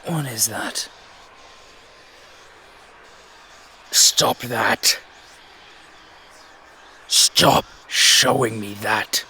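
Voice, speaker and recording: Man playing a slow, gravelly, brooding crime boss. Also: medium pitch, pushed and bright, a little hiss or hum, full character voice. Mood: panicked. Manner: slow, intense, threatening. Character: brooding, dangerous dragon mafia boss, fiercely protective man. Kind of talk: audio roleplay acting.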